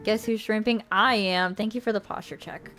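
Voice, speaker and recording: A woman's voice: mid-range voice, fairly even intonation, moderate pace, fairly bright tone, soft background music. A young woman streaming, chatty and playful, moving between casual chat and reading a visual novel aloud. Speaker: streamer and host.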